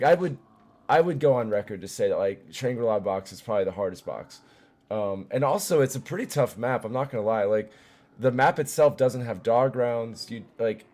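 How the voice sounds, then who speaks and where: A young man with a hoarse, fading voice chatting casually while streaming a game, greeting viewers and filling pauses with ums and likes. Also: medium pitch, darkish tone. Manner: loose and chatty, many fillers, greets viewers. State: hoarse, losing his voice. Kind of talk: casual gaming livestream chat.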